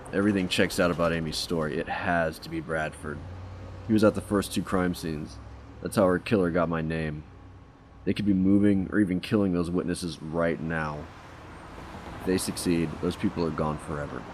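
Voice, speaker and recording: An actor playing a gruff, driven, foul-mouthed police detective in a crime audio drama, speaking in terse, insistent lines. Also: male voice, low voice, noisy background.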